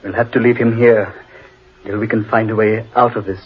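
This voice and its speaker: A man's voice: on the low side, flat delivery, fairly quick, husky. An actor playing an insistent, practical man leading lost companions in a 1940s radio horror drama, with emphatic delivery. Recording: muffled old radio recording.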